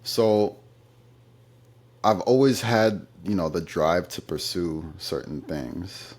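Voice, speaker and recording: A man with a very low, deep voice, answering interview questions at an easy conversational pace. He tells his stories thoughtfully, stopping now and then with fillers as he gathers his thoughts. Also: animated, slow pace, a little mellow. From United States. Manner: thoughtful storytelling, occasional fillers.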